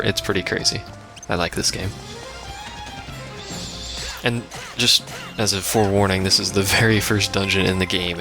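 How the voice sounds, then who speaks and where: A man's voice: low-pitched, gravelly. A man giving casual, rambling live game commentary as a let's play host, with wry asides. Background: video game music.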